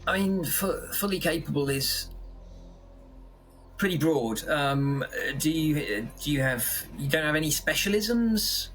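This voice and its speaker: A man's voice: fairly high pitch, lively intonation, measured pace, a bit bright, soft background music, low-quality recording. A man playing a polite, flustered team member in a sci-fi audio drama, hesitant and stammering.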